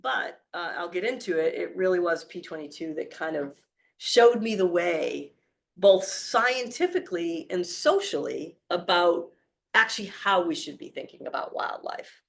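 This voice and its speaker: A hoarse woman in her forties from the Boston area, speaking with a Boston accent, giving an animated, story-filled talk with many fillers. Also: fairly low pitch, moderate pace. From Boston area, Massachusetts. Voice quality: gravelly, creaky. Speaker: wildlife conservation speaker. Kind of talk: public talk with slides.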